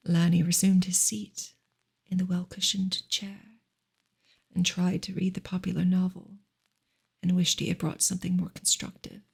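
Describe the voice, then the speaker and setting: A woman softly reading a novel aloud in ASMR style, breathy and hushed, often slipping into a whisper. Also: fairly low pitch, little pitch movement, moderate pace. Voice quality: breathy, soft-spoken. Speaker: ASMR reader. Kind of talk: soft ASMR audiobook reading, partly whispered.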